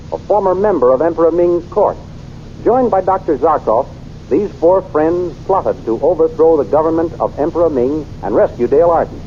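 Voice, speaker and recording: A man's voice: fairly high pitch, dull audio, a little hiss or hum. A man narrating an old radio serial in a dramatic, sweeping announcer style.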